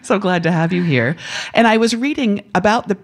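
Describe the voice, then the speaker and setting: A woman's voice: medium pitch, a little fast. A woman hosting a live classical radio interview, friendly and curious, laughing often. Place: radio performance hall studio.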